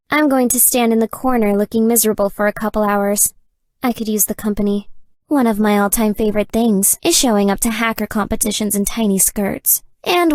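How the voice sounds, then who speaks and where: A woman voicing a dry, deadpan, nerdy young gamer girlfriend in a dating game. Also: a little high, dry recording, natural voice. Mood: dry, awkwardly affectionate. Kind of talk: scripted dating game character dialogue.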